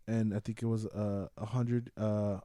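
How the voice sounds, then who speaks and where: A man's voice: fairly low pitch, little pitch movement, unhurried, warm tone, no echo, clean studio sound. A man co-hosting a film podcast, talking casually and bluntly with opinions and some swearing, repeating himself for emphasis. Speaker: podcast co-host reviewing films. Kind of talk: casual movie banter with swearing.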